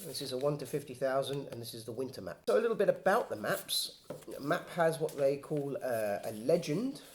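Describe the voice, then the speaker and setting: A man's voice: medium pitch, sing-song, brisk, slight background noise. A man from England, patiently explaining map and compass basics step by step as a hiking guide. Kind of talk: casual instructional explanation.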